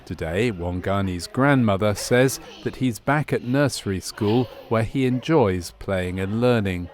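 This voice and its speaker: Male narrator for medical training film: lower register, measured, clinical, scripted.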